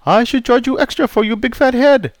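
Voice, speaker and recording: Male reader as grumpy veteran barber, blunt broken English, lively gravelly voice, dry sound. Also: medium pitch, a little slow, no echo. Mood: gruff. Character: crusty older male barber, gruff and prickly.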